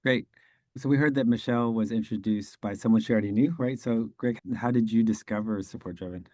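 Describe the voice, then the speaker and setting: Male host, low pitch, short encouraging prompts, dry close sound. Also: a little fast, a little mellow, no room sound. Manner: brief, encouraging interviewer prompts. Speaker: podcast host. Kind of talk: casual video call interview.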